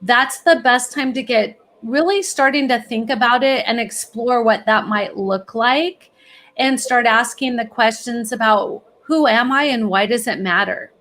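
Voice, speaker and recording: Upbeat encouraging woman, fairly high voice. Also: a little slow, a bit bright. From California, US. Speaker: guest, college planning executive. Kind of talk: podcast interview answers.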